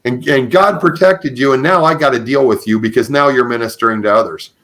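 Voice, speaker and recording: A man's voice: medium pitch, fairly quick, a bit bright. A man hosting a podcast in a conversational interview style, speaking affirmingly and repeating phrases for emphasis. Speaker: podcast host. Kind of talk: conversational interview with religious encouragement.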